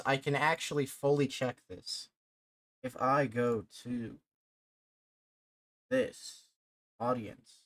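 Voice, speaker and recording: A man's voice: mid-range voice, no room sound. A man in his twenties chatting casually and rambling as he streams a game, swearing now and then. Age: 20s. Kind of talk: casual livestream chat while playing. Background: game music.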